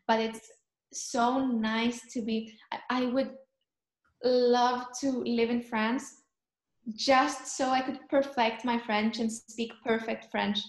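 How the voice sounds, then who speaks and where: A young woman from Cyprus, chatting in an interview and often pausing to search for words. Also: a little high, roomy sound. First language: Greek. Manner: thoughtful, searching for words, frequent ums. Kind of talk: casual chat.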